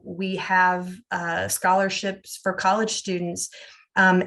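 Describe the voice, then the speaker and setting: A woman's voice: lowish voice, fairly bright tone. A woman hosting an online scholarship webinar, explaining the process in a friendly, informal way with frequent fillers and restarts.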